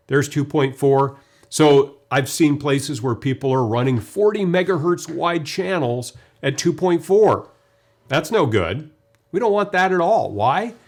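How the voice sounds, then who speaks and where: A man gives an informal technical demonstration as a webinar presenter, talking through each step conversationally. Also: mid-range voice. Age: 50s. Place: indoor video studio.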